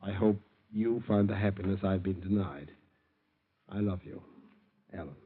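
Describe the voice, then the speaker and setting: An American actor playing a weak-willed, troubled son of a lawyer in a 1940s radio drama, earnest and dramatic. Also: male voice, sits low, moderate pace, husky. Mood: somber reading. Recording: tinny, phone-like old radio recording.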